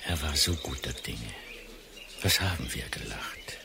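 A man's voice: extremely low pitch, expressive, measured pace, vocal fry, heavily acted. An actor playing a plain-spoken male funeral guest in a radio play. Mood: wistful. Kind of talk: German radio play dialogue. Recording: birdsong and ambient noise behind.

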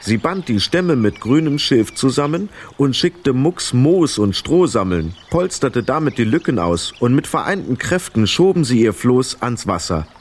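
A man's voice: mid-range voice, big pitch swings, unhurried, few pauses. A man narrating a children's adventure tale as the storyteller of a radio play. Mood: storytelling.

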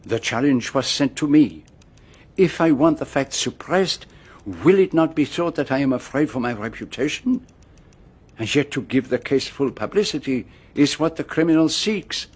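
An actor playing a courteous, methodical Belgian detective in a radio drama, with a French-speaking Belgian accent and formal, precise phrasing. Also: male voice, mid-range voice, expressive, moderate pace, breathy edge. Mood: brooding.

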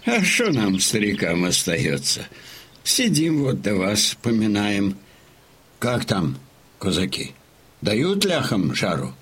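A man playing a folksy, pious old Cossack with an unhurried, musing way of talking in an audio drama. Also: on the low side, huge pitch swings, a bit bright, husky, full character voice. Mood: genial, curious. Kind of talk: Russian audio drama acting.

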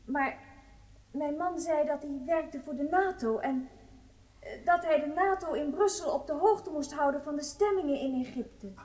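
An actress in a radio drama playing a nervous, evasive wife on trial, speaking hesitantly and deferentially. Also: female voice, high-pitched, normal speed, a little mellow, natural voice, poor audio. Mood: uneasy, defensive.

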